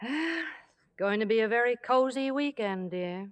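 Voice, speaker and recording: An actress playing a composed, wry, quietly scheming wife with measured, dry delivery, in a classic film. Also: female voice, a little high, animated, clear, resonant tone. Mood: cool, wry. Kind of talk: classic film dialogue and voice-over narration.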